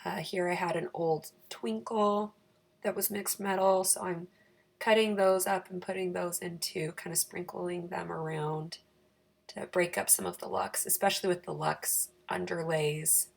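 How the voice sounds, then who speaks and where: A woman with a raspy, croaky voice giving a chatty, self-deprecating, casual craft voice-over for her planner channel. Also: on the low side, a little slow.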